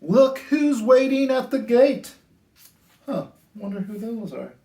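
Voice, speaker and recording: Man, storytime reader, slow sing-song pacing, lively swooping intonation, echoey room. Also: extremely high pitch. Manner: animated, sing-song delivery with drawn-out phrases. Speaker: storytime host reading picture books to children. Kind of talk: read-aloud children's storytime, slow and expressive. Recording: roomy, echoey indoor sound.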